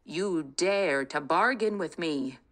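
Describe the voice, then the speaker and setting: A woman voicing a stern, menacing king who speaks in a slow, commanding, threatening way. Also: low voice, expressive. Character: stern, menacing middle-aged human king.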